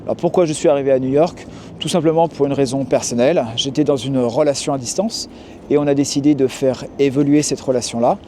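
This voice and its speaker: Homme français calme, voix chaude. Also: male voice, mid-range voice, brisk, slight background noise. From France. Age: 40s. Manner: calm, explanatory, frequent hesitations. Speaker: YouTuber and tour guide. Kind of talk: casual to-camera vlog. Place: city street outdoors.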